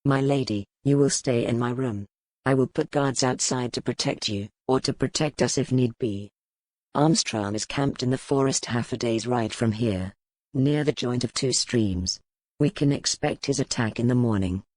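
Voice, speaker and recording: A woman narrator voicing a mature medieval Duke, stern and protective, with a measured, formal, authoritative manner. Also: a bass voice, a little mellow, no room sound, pitched below the performer's own voice, rough recording.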